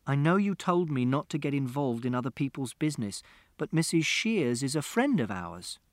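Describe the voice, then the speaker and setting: A man voicing a flat, matter-of-fact teenage boy. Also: medium pitch, normal speed, dry recording, clean studio sound, lightly acted. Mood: earnest.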